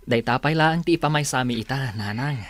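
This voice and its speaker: An actor with a Filipino accent playing an earnest young son in a radio drama. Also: male voice, medium pitch, brisk, lightly acted. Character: earnest young son discussing savings plans.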